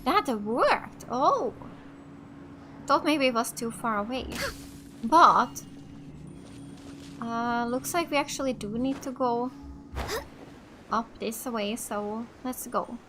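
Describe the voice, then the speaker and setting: A woman giving casual, expressive, exclamatory let's play commentary. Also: fairly high pitch, slow pace, long pauses, a little hiss or hum. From Finland. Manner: expressive, exclamatory, reading aloud and reacting. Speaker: gaming streamer. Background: game music.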